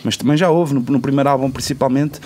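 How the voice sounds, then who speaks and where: A man's voice: medium pitch, fairly even intonation, quick pace, a little mellow. A man, a musician explaining things casually in an interview, often repeating words as he goes. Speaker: musician, band member being interviewed.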